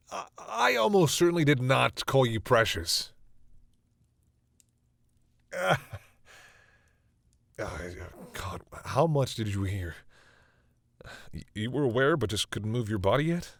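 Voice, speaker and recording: A deep-voiced male vampire, acted by a man in an intimate audio roleplay. He is protective and devoted, yet stammers bashfully as he talks to the human he loves. The sound is very dry and close, with a slightly soft-edged, breathy delivery. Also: no echo, heavily acted. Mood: embarrassed.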